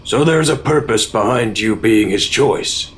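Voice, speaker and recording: A somewhat low male voice acted by a man of a stern, skeptical admiral. He speaks formally and with authority in a military audio drama, over faint noise. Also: expressive, unhurried.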